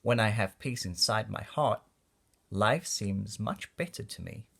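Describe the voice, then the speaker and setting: A man reading a rhyming children's storybook aloud in an expressive, deliberate way for young listeners. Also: lowish voice, gravelly, breathy edge. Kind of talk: read-aloud storybook narration of rhyming verse.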